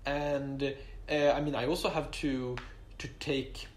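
A man answers interview questions in English, which he learned as his third language. He speaks in a measured, hesitant way, with frequent fillers and restarts. There is a faint hum of background noise. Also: mid-range voice, level tone. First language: not English; English is his third language. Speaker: interviewee, Oxford applicant.

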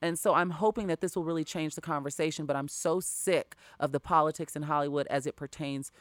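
A woman's voice: deep voice, a little fast, dry recording. Sassy, emphatic woman host. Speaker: podcast host. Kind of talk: casual chat. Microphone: close studio mic.

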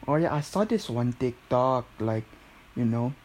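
Slow-talking man putting on a Filipino accent. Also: mid-range voice, expressive, darkish tone, slight background noise, poor audio. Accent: put-on Filipino. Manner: jokey, often breaking into giggles. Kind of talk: casual rambling chat.

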